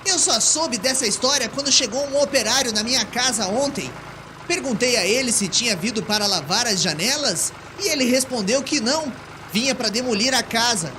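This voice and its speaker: A woman's voice: on the high side, expressive, a little fast, background noise, pitched above the performer's own voice, close to an everyday voice. A woman voicing an exasperated, stubborn, sarcastic male homeowner with an indignant manner in an audiobook drama. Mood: incredulous, indignant. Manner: indignant, sardonic. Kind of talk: audiobook character dialogue.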